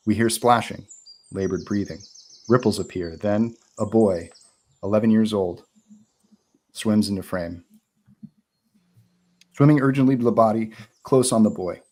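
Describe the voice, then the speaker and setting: A man's voice: on the low side, fairly even intonation, moderate pace, long pauses, darkish tone. A man reading out screenplay stage directions as narrator at a live table read.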